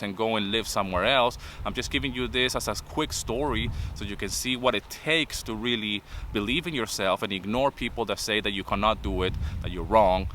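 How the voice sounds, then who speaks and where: Vlogger, 50s, Venezuelan accent, earnest motivational delivery, bright timbre. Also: male voice, lowish voice, slight background noise. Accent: Venezuelan Spanish. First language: Spanish. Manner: earnest, repeats points with "okay?". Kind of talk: motivational talk to camera.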